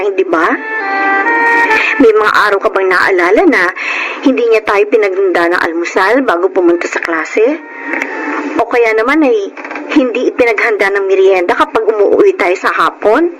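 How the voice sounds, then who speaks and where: Actress for younger sister, radio drama. Gently persuasive, earnest, higher-pitched; clearly noisy background. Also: female voice, lightly acted. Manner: coaxing, earnest. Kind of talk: Filipino radio drama acting.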